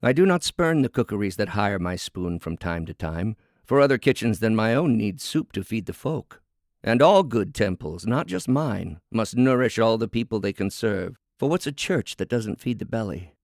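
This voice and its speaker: Proud, defiant female cook acted by a man for a fable: indignant, emphatic, lively pitch with a gravelly edge. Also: moderate pace, no echo, studio quality. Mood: proud, pleading. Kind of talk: dramatic storybook fable reading.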